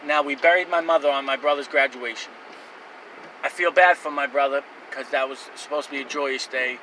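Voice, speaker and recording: A young man in his twenties speaks his life story in a loose, rambling way, his voice very bright and a little high. He sits some distance from the mic, with faint outdoor and traffic noise behind him. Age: 20s. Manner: rambling, candid, with fillers and restarts. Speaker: vlogger. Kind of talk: personal life story to camera.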